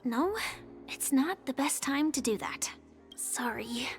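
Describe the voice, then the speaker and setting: An actress playing a gentle, reserved young heroine in a video game, speaking in a hesitant, earnest, soft way. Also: female voice, high-pitched, slow. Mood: reserved, polite. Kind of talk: video game character dialogue. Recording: faint music behind the voice.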